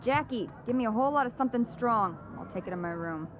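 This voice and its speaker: An actress playing a short-tempered, bossy noblewoman on the run in a fantasy audio drama, gruff and profane. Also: on the high side, animated, fast talker, noisy background, close to an everyday voice. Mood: weary. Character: foul-mouthed, short-tempered fugitive noblewoman commanding a party.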